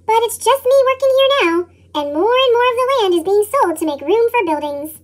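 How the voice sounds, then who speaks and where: A woman voicing a sad, regretful female park ranger from a storybook, with a pitched-up chipmunk effect. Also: animated, a little fast.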